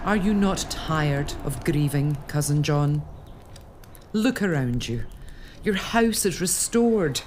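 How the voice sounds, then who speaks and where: A woman's voice: low-pitched, a little mellow, a little hiss or hum. An actress playing a resolute, tender lady of a castle in a period audio drama, speaking formally and earnestly. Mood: tender, gentle. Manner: formal, earnest, addressing an unseen spirit.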